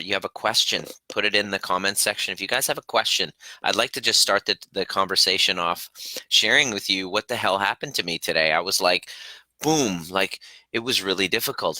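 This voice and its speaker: Man hosting a live q&a, earnest, lots of restarts, low but bright voice. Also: no room sound, rough recording. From Iran, raised in Canada. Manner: earnest and halting, with many restarts and fillers. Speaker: host of a live Q&A stream. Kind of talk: casual live-stream talk.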